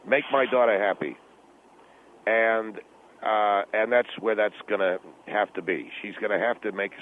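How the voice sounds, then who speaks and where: A man playing a self-justifying middle-aged businessman father, halting and repeating himself defensively on a talk radio comedy call. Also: fairly low pitch, slight background noise, pitched below the performer's own voice, lightly acted. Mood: stern, insistent. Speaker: phone-in guest. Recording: narrow, tinny phone line.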